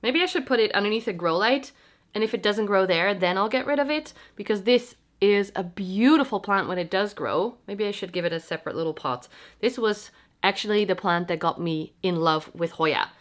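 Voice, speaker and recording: A woman's voice: mid-range voice, a little fast. A young adult woman with a stuffy, congested voice from a cold, chatting casually to camera at home, self-deprecating and explaining things as she goes. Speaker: plant vlogger. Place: home, indoors.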